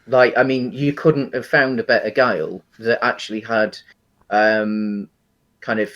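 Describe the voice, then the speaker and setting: Male podcast co-host, lower register, casual film discussion, halting and explanatory delivery. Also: steady tone, fairly bright tone, lo-fi sound.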